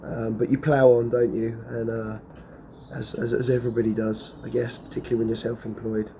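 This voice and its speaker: A man chatting casually to camera in a vlog about lawn care, hoarse and losing his voice, rambling with lots of fillers and restarts. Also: medium pitch, flat delivery, brisk, mellow, a little hiss or hum.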